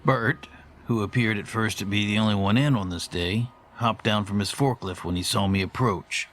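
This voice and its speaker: A man playing a formal, wordy middle-aged storyteller, giving a measured, ornate monologue in an audio horror drama. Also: fairly low pitch, fairly bright tone, slight background noise, natural voice. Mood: uneasy, brooding. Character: formal, verbose middle-aged man recalling haunting courier days.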